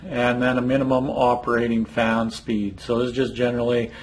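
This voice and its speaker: A man's voice: mid-range voice, flat delivery, a little fast, low-quality recording. Man explaining equipment setup steps. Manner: plain, instructive, some fillers and restarts. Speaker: product trainer. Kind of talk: step-by-step equipment walkthrough. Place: indoor room, filming a monitor screen.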